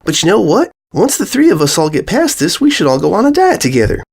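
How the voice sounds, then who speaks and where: Storybook role: upbeat little girl pony, high and casual acted by a narrator. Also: huge pitch swings, fast, gravelly, breathy edge, exaggerated voice. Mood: cheerful, matter-of-fact.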